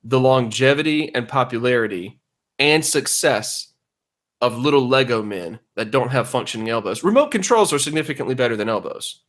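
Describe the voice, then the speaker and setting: A man's voice: mid-range voice, lively intonation, normal speed, fairly bright tone. A man co-hosting a comedy podcast, arguing in an emphatic, mock-serious way during casual banter.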